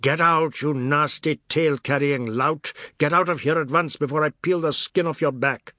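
A man voicing an angry, drunken middle-aged man who accuses and threatens loudly. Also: fairly high pitch, fairly even intonation, moderate pace, no echo, studio quality. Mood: furious. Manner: loud, threatening.

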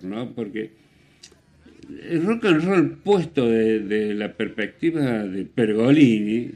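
A man with an Argentine Spanish accent hosting a radio show, commenting casually on music with opinions in a rambling, halting way. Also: medium pitch, a little mellow. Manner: rambling, hesitant with self-corrections and rhetorical questions. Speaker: radio host.

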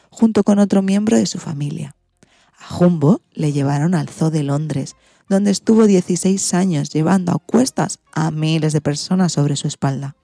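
Low-voiced woman from a Spanish radio show. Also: dark tone, dry recording, clean studio sound. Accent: Spain. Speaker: radio programme host. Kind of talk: scripted radio segment narration.

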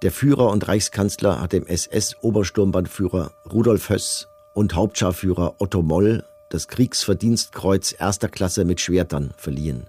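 A man's voice: low-pitched, measured pace, few pauses, a little mellow, slightly rough. A man playing a cold, bureaucratic camp officer, reading orders and records formally in a documentary radio drama. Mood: formal, official.

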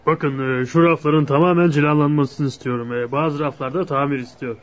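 An actor plays a courteous shopkeeper in a radio play. His voice is fairly high for a man and his manner formal, on a dull lo-fi recording. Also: fairly even intonation, fairly quick, close to an everyday voice. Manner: formal, polite, hesitant. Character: courteous, businesslike male shop attendant. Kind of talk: radio drama acting.

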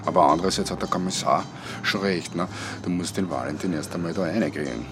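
An actor playing a laconic, casual co-worker with a secret in a radio drama, speaking slangy Austrian-accented dialogue. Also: male voice, sits low, fast, over music. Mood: speculating. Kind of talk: naturalistic radio drama acting.

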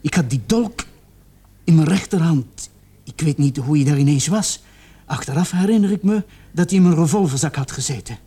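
An actor playing a distraught young man in a radio play, confessing haltingly and repeating his words in agitation. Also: a little high, animated, dark tone, slightly rough. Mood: anguished, desperate.

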